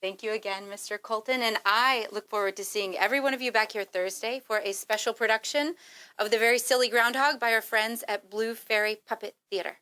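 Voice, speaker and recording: An actress playing an earnest librarian who investigates a sinister kids' show, speaking in an articulate, measured way in found-footage game style. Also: female voice, on the high side, steady tone, bright, forceful tone, clear, resonant tone. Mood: welcoming.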